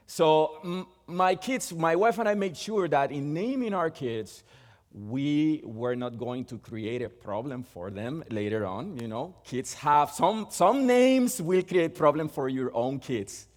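A man's voice: on the high side, lively intonation. Puerto Rican man preaching in a hall. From Puerto Rico. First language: Spanish. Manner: halting, with many restarts and fillers. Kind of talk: sermon.

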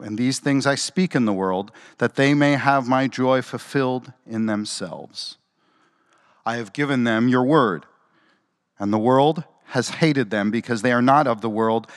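Man reading scripture aloud. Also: medium pitch. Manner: measured, formal reading. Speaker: scripture reader.

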